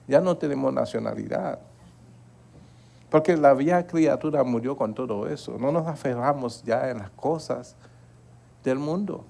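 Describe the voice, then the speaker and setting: A man's voice: medium pitch, vocal fry, mellow. A man preaching a Bible study in a church, earnest and repeating himself, often asking his listeners to agree. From Haiti. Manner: earnest, repeats words, asks the congregation to agree.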